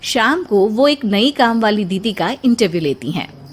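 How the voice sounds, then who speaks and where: A woman's voice: medium pitch, animated, normal speed, breathy edge. A woman narrating a scripted comic bedtime story.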